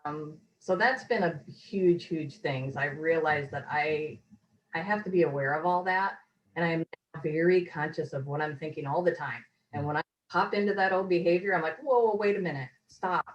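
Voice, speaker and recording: A woman being interviewed over a video call, talking earnestly and reflectively in a rambling way, her voice a little hoarse. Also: low-pitched, normal speed, muffled recording, slight background noise. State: slightly hoarse. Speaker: course graduate, interviewee. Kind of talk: casual testimonial interview.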